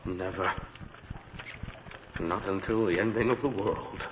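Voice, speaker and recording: An actor playing a grim nobleman in a radio horror drama, speaking in a somber, halting way. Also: sits low. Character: grim nobleman, cousin of a murderous countess. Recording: echoey, noisy, dull old radio recording.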